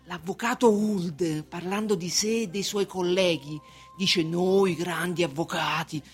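A woman's voice: lowish voice, a little slow, breathy edge, soft background music. A woman playing an anxious, submissive merchant who confides fretfully and insistently in a radio drama. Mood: awed, tormented. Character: anxious, submissive merchant client obsessed with his trial. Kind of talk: stage acting in a radio drama.

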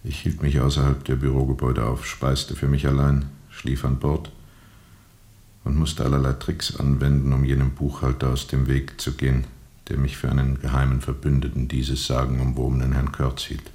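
A man acting a brooding, haunted steamboat captain in a radio drama, grave and measured, often sinking toward a whisper. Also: a bass voice, completely flat delivery, dark tone. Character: brooding, haunted steamboat captain recalling a colonial river journey.

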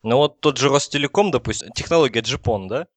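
A man's voice: mid-range voice, animated, a little fast, dry recording. A man explaining technical matters in a casual, conversational panel discussion.

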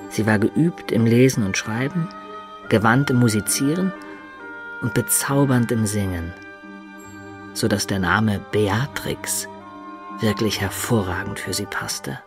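A male actor in a radio drama playing an earnest, lovestruck adventurer of peasant birth, telling of his youth in measured, lyrical words. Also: on the low side, over music. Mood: wistful, reminiscing. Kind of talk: dramatic first-person narration in radio play.

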